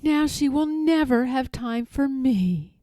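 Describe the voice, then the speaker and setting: A woman's voice: high voice, expressive, takes it slowly, dark tone, no room sound, clean studio sound. A woman voicing a timid, lonely six-year-old princess in a storybook, small and plaintive. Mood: dejected.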